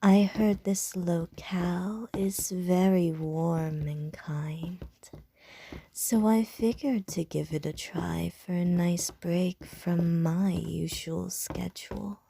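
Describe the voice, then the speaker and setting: A seductive ancient vampire lady, as a woman plays her for an ASMR roleplay, teasing in a soft, breathy whisper. Her voice sits low, dark and gravelly, and her pace is very slow and unhurried. She sounds playful and predatory at once. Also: steady tone, heavily acted.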